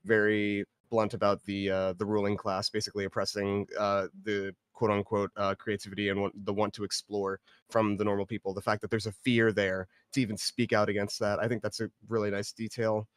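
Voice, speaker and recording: Guy with a lowish voice giving casual notes, hedges and rambles a lot, very dry sound. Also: monotone, brisk, dry recording. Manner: hesitant, hedging, rambling. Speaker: participant giving script feedback. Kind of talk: casual spoken critique.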